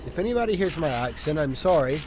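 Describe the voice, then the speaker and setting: A man's voice: on the high side, big pitch swings, fast talker, a little mellow, a little hiss or hum, low-quality recording. A man with a slight British accent talking casually over his solo gameplay, asking for company and now and then laughing and whooping. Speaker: gamer streaming solo play. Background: game music.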